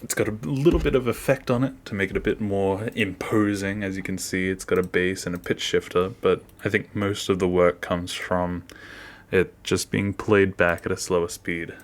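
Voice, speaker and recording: A man's voice: deep voice, steady tone, normal speed. A man giving a casual behind-the-scenes walkthrough as an animator, offhand and self-deprecating, often trailing off. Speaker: animator, video creator.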